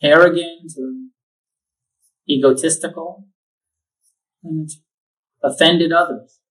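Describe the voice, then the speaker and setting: A man guides a meditation at a very slow pace, leaving long pauses between phrases. His voice sits fairly high and calm. He sounds set back from the microphone. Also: far from the mic, low-quality recording. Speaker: meditation teacher.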